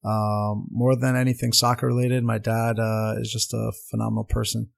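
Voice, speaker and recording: A man's voice: on the low side, monotone, normal speed, gravelly. A young man whose first language is Armenian, a soccer coach speaking earnestly as an interview guest, with many fillers and restarts. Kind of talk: casual interview talk.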